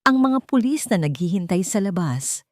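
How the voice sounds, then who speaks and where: A woman's voice: medium pitch, big pitch swings, moderate pace, a little mellow, dry recording, clean studio sound. A woman reading a scripted dramatic story as its narrator.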